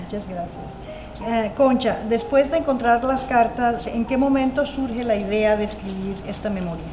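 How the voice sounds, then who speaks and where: A woman's voice: a little high, moderate pace, darkish tone. A woman moderating a book panel, brief and courteous as she poses questions. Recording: echoey room with music behind.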